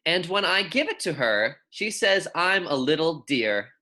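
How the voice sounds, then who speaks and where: Friendly teacher doing a read aloud for little kids, really bright clear-cut voice, informal and encouraging. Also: mid-range voice, sing-song, unhurried. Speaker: elementary school teacher. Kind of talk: read-aloud lesson for young children.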